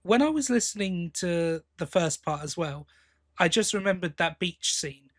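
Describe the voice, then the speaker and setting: A man with a fairly high-pitched voice talks casually as a podcast co-host reviewing a story. He speaks haltingly, pausing to think aloud and rephrase as he goes. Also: expressive.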